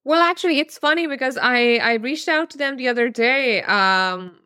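A woman's voice: high voice, normal speed, pushed and bright, smooth, dry recording, clean studio sound. A woman in her thirties from Pakistan, a novelist answering interview questions thoughtfully, with many fillers and restarts. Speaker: novelist guest.

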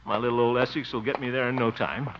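A man's voice: mid-range voice, fairly even intonation, fast, lightly acted. An actor playing a blustery, exasperated, comically pompous small-town man in a 1950s radio comedy, sputtering and grumbling. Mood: grumbling to himself. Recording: very noisy muffled old radio recording.

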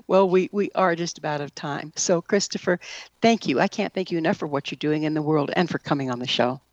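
A woman's voice: deep voice, brisk, no echo, highs cut off. A woman hosting a radio interview show, putting questions to a guest and reading announcements. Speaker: show host.

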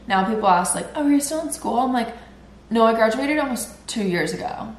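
A woman's voice: on the high side, lively intonation, a little fast, roomy sound. A woman in her twenties hosting a solo podcast, chatty and rambling, sounding nasal and congested as she recovers from illness. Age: 20s. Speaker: podcast host. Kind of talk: casual solo podcast chat.

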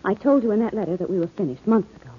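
A woman's voice: medium pitch, expressive, very fast, slightly rough, phone-quality sound. An actress playing an anxious young woman at odds with a menacing ex, in 1940s radio drama style. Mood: firm, agitated. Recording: old noisy band-limited radio recording.

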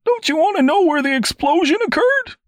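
A man's voice: extremely high pitch, animated, fairly quick, dry recording, clean studio sound, voice pushed up. A man voicing a dumbfounded castle guardsman in a story, with a bewildered manner.

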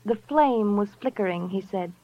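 A woman's voice: mid-range voice, expressive, moderate pace, natural voice. A woman playing a flirtatious, sardonic, worldly fiancée in a 1950s radio crime drama, languid and knowing. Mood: coy, sardonic. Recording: dull vintage radio recording.

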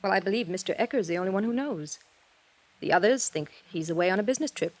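A woman's voice: medium pitch, expressive, fast, a little mellow, close to an everyday voice. An actress playing a prim, defensive, well-to-do married woman of middle age in 1950s radio drama style, proper and easily indignant. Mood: guarded.

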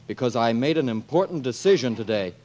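Bashful gee-whiz young fellow, played by an actor, mid-range voice, hesitant sincerity, old-time radio comedy. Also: male voice, mid-range voice, lively intonation, brisk, a little mellow, lo-fi sound, natural voice. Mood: earnest, bashful. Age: young adult. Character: earnest, naive, bashful young male suitor. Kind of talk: 1940s radio comedy acting.

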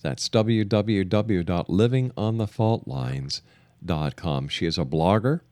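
A man hosting a talk radio interview, speaking in an emphatic, deliberate way and repeating phrases for emphasis. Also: sits low, dark tone, dry recording. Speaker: radio show host.

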